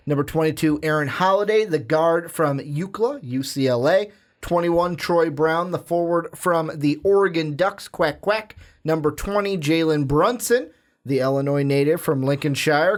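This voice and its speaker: Upbeat higher-voiced podcast host. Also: male voice. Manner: energetic, plugs and runs the segment. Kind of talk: sports podcast chat. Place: podcast studio.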